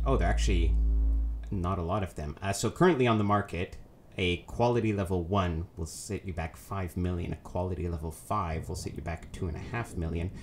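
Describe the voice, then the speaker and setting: Man with a cold, nasal, low voice, hosting a casual let's play with loose, rambling delivery over a faint noise floor. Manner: rambling, many ums and self-corrections. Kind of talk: casual gameplay commentary.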